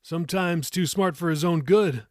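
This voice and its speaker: A man's voice: fairly high pitch, normal speed, dry recording, studio quality, voice pushed up, close to an everyday voice. A man voicing a jokey, affectionate young father in an audiobook story. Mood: joking, affectionate.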